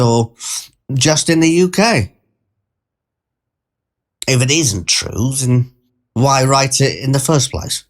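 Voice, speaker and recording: A mild-mannered, bemused male train passenger narrating an audio short story. His pitch sits in the middle range. He talks conversationally in wryly formal wording, wandering into pedantic asides. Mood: puzzled, musing.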